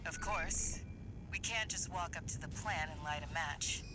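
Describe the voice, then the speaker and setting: An actress playing a brash, battle-hardened mercenary operator in a video game, speaking in a commanding, wry way. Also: female voice, deep voice, a little slow. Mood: grim, resolute. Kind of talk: video game voice acting, mission briefing. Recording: loud game music and vehicle noise.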